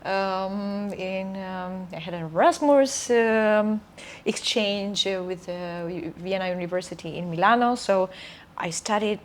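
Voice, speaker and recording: A woman's voice: medium pitch, a little slow. A woman from Romania, a native Romanian speaker and opera soprano, talking reflectively in a casual interview with many fillers and restarts.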